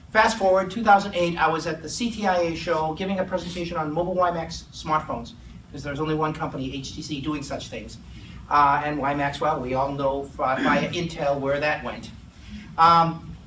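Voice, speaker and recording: A middle-aged man from Massachusetts with a Massachusetts accent, giving a lively, joking conference presentation to a live audience. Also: fairly high pitch. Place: conference room in an office. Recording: echoey room, distant mic, faint hum.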